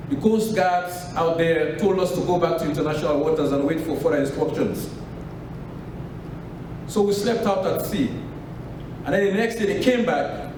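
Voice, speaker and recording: A Sierra Leonean man tells a live story, in a slightly raised voice and an unhurried, pausing delivery. He sounds far off the mic, in a strongly echoing hall. Steady noise hums behind him. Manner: measured, pausing, drops low at phrase ends. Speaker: author at a book launch. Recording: echoey room from a distance with noise.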